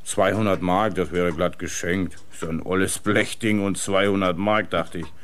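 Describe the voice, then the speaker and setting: An actor playing a gruff, plain-spoken master butcher in a German radio crime play, chatting in a grumbling manner. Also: male voice, low-pitched, steady tone, normal speed, a bit bright.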